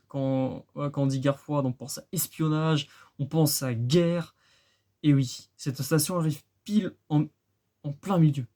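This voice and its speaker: A man's voice: on the high side, warm tone. A man giving a casual explainer, conversational, with rhetorical questions and phrases repeated for emphasis. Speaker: presenter of a radio-hobby video.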